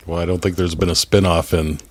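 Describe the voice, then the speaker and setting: A man's voice: deep voice, little pitch movement, a little fast, darkish tone, breathy edge. A man speaking as a podcast guest, chatting casually about films in a joking, self-deprecating way. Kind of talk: casual film chat. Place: his home theater.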